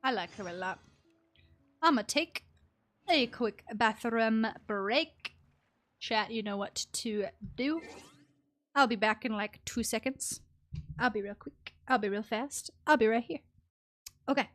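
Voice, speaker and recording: A woman's voice: on the high side, unhurried, long pauses, a bit bright. A woman live-streaming a video game, giving casual, informal and playful commentary. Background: game music.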